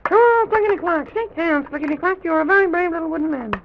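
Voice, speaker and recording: A performer playing a quaint, rambling, excitable little old gentleman in an old children's radio serial, with a high, creaky voice. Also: fast, music in the background, exaggerated voice. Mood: wondering. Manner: rambling, repetitive, exclaims "goodness me". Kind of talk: 1920s-30s children's radio serial acting. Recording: tinny, noisy old radio recording.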